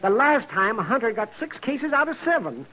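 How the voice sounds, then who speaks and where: A high, husky voice swoops about as a crusty old frontier doctor. He is folksy and excitable, hemming and chuckling through an old-time radio western. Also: moderate pace, full character voice, poor audio. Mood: grumbling, wheedling. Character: crusty, chuckling, opportunistic frontier town doctor, older man. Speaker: supporting actor in a western radio drama. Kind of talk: 1950s radio drama acting.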